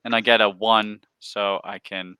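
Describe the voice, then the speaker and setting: A man's voice: lowish voice, level tone, measured pace, pushed and bright, clear, resonant tone, no room sound, low-quality recording. A man chatting casually as he plays a board game. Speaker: game player. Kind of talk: casual chat while playing.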